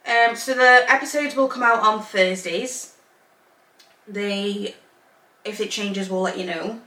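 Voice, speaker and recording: A woman co-hosting a relaxed podcast, with a bright, sharp tone. She rambles in long run-ons and laughs easily. Her voice comes from a little way off the mic in an echoey room. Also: medium pitch, takes it slowly, recorded from a distance. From Zambia, raised in England. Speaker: podcast co-host. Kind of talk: casual chat. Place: home room, Northern Ireland.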